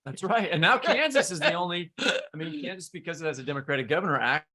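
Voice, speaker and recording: A man's voice: fairly high pitch, lively intonation, fast, bright, forceful tone. An American man from Missouri hosting a podcast, putting questions to a guest in casual conversation. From Missouri, United States. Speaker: podcast host.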